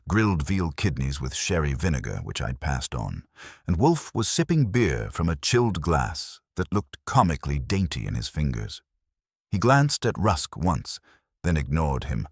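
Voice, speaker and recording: Very deep, wry male detective narrator. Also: slightly rough, no room sound, clean studio sound. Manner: wry, hard-boiled. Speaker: audiobook narrator. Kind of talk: scripted first-person detective story narration.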